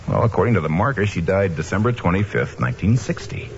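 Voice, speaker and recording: Deep-voiced, steady radio investigator. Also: male voice, quick pace. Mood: explaining. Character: steady, principled male investigator narrating his own case. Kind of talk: old-time radio mystery narration and dialogue. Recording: dull noisy old radio recording.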